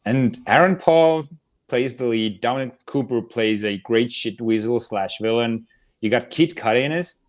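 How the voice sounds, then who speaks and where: A man with an average-pitched voice talks as a panelist on a podcast. His delivery is casual and conversational, as in a relaxed chat about movies. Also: moderate pace.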